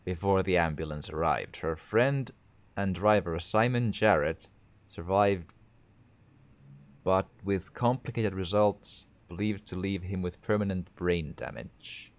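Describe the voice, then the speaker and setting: A man with a Finnish accent, talking through a horror game while he plays. His voice is very low, and he often trips and restarts his words as he thinks aloud. The recording is dull and dry, without room echo. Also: unhurried. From Finland. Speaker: gaming video creator. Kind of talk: horror game let's play commentary.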